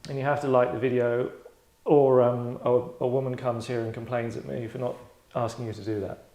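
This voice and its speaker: A man hosting and directing a table read, chatting casually in a tongue-in-cheek acting critique, deadpan, rambling and self-satisfied. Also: medium pitch, fairly quick. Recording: roomy, echoey indoor sound.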